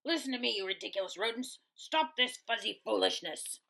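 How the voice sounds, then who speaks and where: A woman's voice: a little high, lively intonation, brisk, creaky, bright, forceful tone, heavily acted. Woman putting on a snarling prairie dog bully. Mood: exasperated, angry. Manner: growling, barking, domineering. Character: mean, bossy, snarling big male prairie dog bully.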